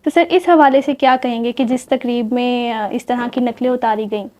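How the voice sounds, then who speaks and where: A woman hosting a talk show, putting questions to her guest in a halting way with repeated pauses. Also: fairly high pitch, steady tone, dark tone. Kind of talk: studio interview questioning. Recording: dull, muffled audio.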